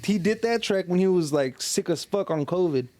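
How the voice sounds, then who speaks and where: A man's voice: high voice, expressive, normal speed, mellow, no room sound. A man co-hosting a podcast in casual, slangy chat with plenty of swearing. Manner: slangy, profane. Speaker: podcast co-host.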